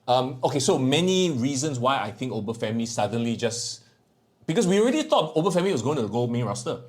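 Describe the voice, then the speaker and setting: A man's voice: medium pitch, big pitch swings, fast, darkish tone. A man with a Singaporean accent co-hosting a podcast in casual, jokey, slangy banter about wrestling. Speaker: podcast co-host.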